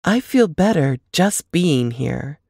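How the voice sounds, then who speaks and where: A woman voicing a frail but quietly resilient young woman in a novel reading, earnest and measured. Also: deep voice, lively intonation, gravelly, no room sound, clean studio sound, heavily acted. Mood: relieved.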